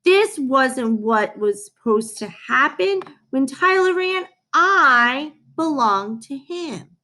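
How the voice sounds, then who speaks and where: Eager young dog, slow and excitable, voiced by a woman. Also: sits high, pitched above the performer's own voice, heavily acted. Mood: anxious, urgent. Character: eager, devoted young dog telling his own story.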